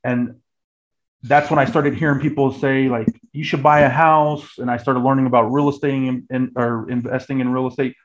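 A man's voice: medium pitch, little pitch movement, moderate pace, muffled recording. An American man in his early forties telling his story in a casual, conversational way, often restarting and repeating phrases mid-thought. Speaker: guest, real estate agent.

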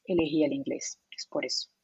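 A woman's voice: deep voice, measured pace, vocal fry, mellow, dull audio, a little hiss or hum. A woman from Brazil whose first language is Portuguese, speaking Spanish with a Brazilian Portuguese accent, explaining things as a guest in a casual interview with frequent fillers and easy laughter. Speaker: guest, remote work expert.

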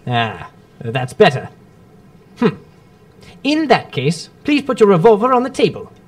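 An actor playing a shrewd, imperturbable gentleman detective in a radio drama, speaking drily and urbanely with small hesitant murmurs. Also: sits high, huge pitch swings, measured pace, exaggerated voice. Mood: coolly defiant. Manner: urbane, dry, hesitant interjections.

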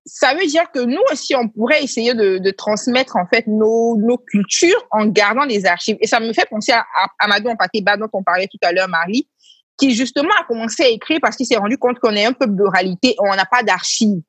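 A woman's voice: a little high, a little fast, fairly bright tone, breathy edge, dry recording. A woman speaking as an interview guest about reading workshops, talking in a hesitant way and repeating words as she searches for them. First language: Senoufo heritage, speaks it only at a basic level. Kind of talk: conversational interview answers.

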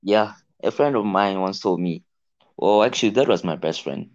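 A man's voice: on the low side, moderate pace, darkish tone, no room sound. A young man speaking as a podcast guest, talking hesitantly with lots of "so, like" fillers. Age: young adult. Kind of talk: casual podcast chat. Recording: dull, dry lo-fi audio.

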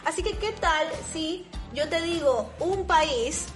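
A woman's voice: extremely high pitch, expressive, takes it slowly. A woman hosting a casual live chat show, enthusiastic and encouraging. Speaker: host. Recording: music playing behind.

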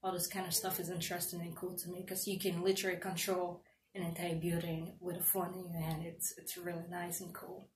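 A woman's voice: fairly low pitch, level tone, a little fast. A young woman from Nigeria, an engineering student, answering interview questions in a loose, chatty way with fillers. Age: young adult. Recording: roomy echo, faint noise.

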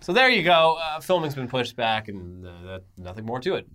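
Male co-host for casual news commentary. Mid-range voice, highly animated intonation, wry and chatty delivery. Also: a little fast. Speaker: co-host of an entertainment news show.

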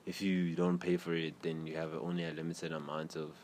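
Young south african guy, really deep voice, laid-back rambly host chat, bit of hiss in the background. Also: brisk. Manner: relaxed, rambling, slang-heavy. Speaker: podcast host. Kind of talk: casual interview chat. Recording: faint background noise.

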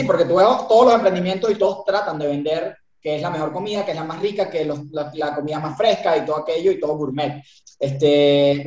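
Venezuelan guy explaining his business, bit high voice, roomy muffled audio. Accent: Venezuelan Spanish. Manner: animated, explanatory, frequent fillers. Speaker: guest, business founder. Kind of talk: interview answers. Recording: roomy, dull audio with music.

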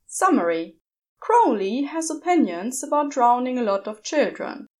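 A woman reading a story aloud as narrator, measured and steady. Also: sits high. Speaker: podfic narrator.

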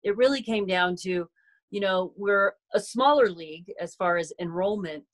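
A woman's voice: medium pitch, moderate pace. A woman speaking as an interview guest in a composed, businesslike way. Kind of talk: interview answers.

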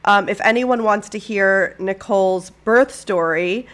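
A woman hosting a podcast, chatty and upbeat, clearing her throat and sniffling a little after an illness. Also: mid-range voice, unhurried, fairly bright tone. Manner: upbeat, chatty, self-deprecating. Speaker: podcast host. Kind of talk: podcast interview and intro.

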